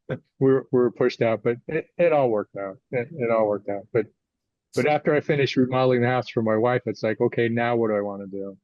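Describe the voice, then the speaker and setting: A man in his sixties with an American accent talks as a guest author in an interview. His delivery is halting and conversational. The sound is close and dry but a little dull and lo-fi. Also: mid-range voice, no room sound. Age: 60s. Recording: slightly dull, lo-fi audio.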